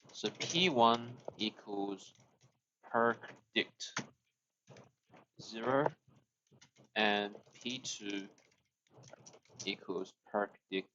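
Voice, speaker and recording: A man's voice: lowish voice, a bit bright, lo-fi sound, a little hiss or hum. A young man, chatting while he codes on a live stream, thinking aloud, restarting phrases and chuckling often. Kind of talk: casual live-stream coding chat.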